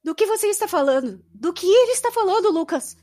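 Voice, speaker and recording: A woman's voice: extremely high pitch, lively intonation, fast talker, darkish tone. An actress with a Brazilian accent playing a frightened, disbelieving young woman in an audio drama, sounding distraught. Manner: distraught, incredulous.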